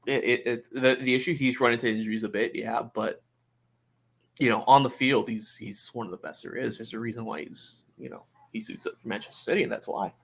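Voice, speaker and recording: A man discussing soccer casually as a podcast pundit, halting and often repeating words as he qualifies his points. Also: medium pitch, fairly even intonation, gravelly.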